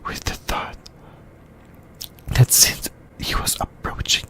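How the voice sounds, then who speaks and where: A host whispering an ASMR discussion in a breathy, unvoiced voice, speaking in halting short phrases and repeating words. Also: fairly bright tone. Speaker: host of an anime discussion channel.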